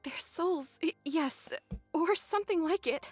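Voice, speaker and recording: Slow, high-voiced, solemn young holy soldier. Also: female voice, dry recording, faint music behind. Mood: awed, shaken. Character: solemn, gentle young religious female soldier mourning the dead. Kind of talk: dramatic video game voice acting.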